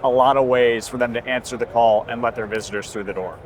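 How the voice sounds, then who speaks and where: A man's voice: medium pitch, fairly even intonation, quick pace. A man giving a conversational, explanatory product demonstration as a company presenter at a trade show. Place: busy indoor trade show booth. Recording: constant trade show floor noise. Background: exhibition hall ambience.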